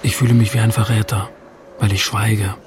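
An actor playing a self-doubting writer in a radio drama, recounting things in a reflective, confessional way. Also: male voice, lowish voice, level tone, brisk, noisy background, natural voice. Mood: rueful, reflective. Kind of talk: radio drama narration and dialogue.

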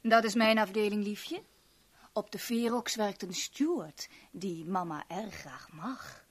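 A brazen, flirtatious mama of the underworld from a 1970s radio drama. Her medium-pitched female voice coos and coaxes. She sounds motherly and openly suggestive at once. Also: slow pace, husky. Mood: smug. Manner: cooing, suggestive, coaxing.

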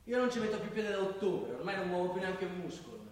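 A tired, sardonic father with a fairly high, lively voice, always grumbling about work, as an actor plays him on a theatre stage. The sound is distant and boomy, with a long hall reverb. A faint hiss lies beneath it. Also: quick pace. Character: overworked, weary father, a busy professional. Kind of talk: stage play recorded live. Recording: echoey stage miked from afar, faint noise.

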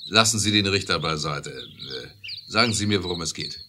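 Upright investigating judge for radio play; fairly low voice, lively inflection, measured formal firmness, faintly noisy vintage record. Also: male voice, exaggerated voice. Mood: firm, principled. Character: stern, incorruptible male judge and investigator. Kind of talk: 1970s German audio drama acting. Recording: slightly noisy 1970s record.

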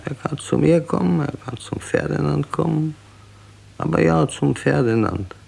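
Gravelly, bitter old Austrian emperor. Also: male voice, a little high, moderate pace, darkish tone, exaggerated voice. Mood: gloomy, resigned. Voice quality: gravelly, creaky. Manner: grumbling, brooding declamation. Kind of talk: radio drama acting.

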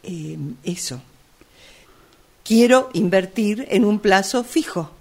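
Slow-talking, stubbornly earnest woman customer acted. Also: medium pitch, animated. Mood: hesitant, earnest. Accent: Argentine. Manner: hesitant, halting delivery. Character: earnest, stubborn, absurd female blood bank customer. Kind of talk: amateur radio play acting.

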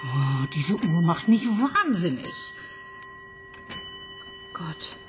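Eine Schauspielerin spielt in einem Hörspiel eine Kriminalkommissarin, die bohrend und forsch verhört. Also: female voice, lowish voice, big pitch swings, over music, heavily acted. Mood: flustered. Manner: probing, brisk. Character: probing, no-nonsense female police inspector. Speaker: police detective. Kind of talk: radio play acting.

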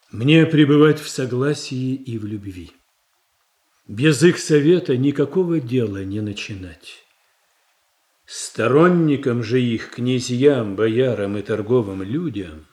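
An actor playing a shrewd, composed, powerful boyar in a verse tragedy, with formal, controlled, weighty delivery. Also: male voice, mid-range voice, darkish tone. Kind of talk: classical Russian verse tragedy in an audio play. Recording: echoey theatrical room sound.

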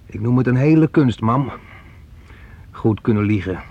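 A man's voice: mid-range voice, lively intonation, moderate pace, phone-quality sound. An actor playing a grown son who talks on familiarly to his mother, teasing and probing, in a Dutch radio play. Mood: slyly teasing. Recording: noisy old radio play recording.

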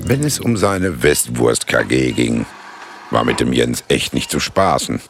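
Very deep, gravelly Ruhr storyteller. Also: male voice, lively intonation, a little slow, over music, heavily acted. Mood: wry, chatty. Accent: Ruhr area German. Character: chatty, wry local man telling the town's story. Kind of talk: colloquial radio play narration.

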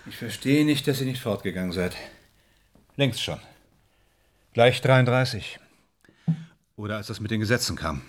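An actor playing an anxious, evasive, self-doubting man in a German radio drama, speaking in halting, clipped phrases. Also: on the low side, expressive, close to an everyday voice. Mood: subdued. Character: anxious, evasive film actor dreading a screen test.

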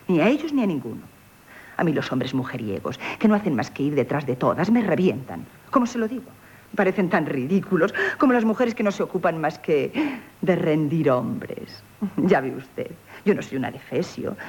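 An actress playing a shrewd, flattering innkeeper, coquettish and chatty, in a radio play. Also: female voice, sits low, slightly rough, muffled recording, full character voice. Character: shrewd, flattering, independent young innkeeper woman. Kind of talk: theatrical radio play acting in Castilian Spanish.

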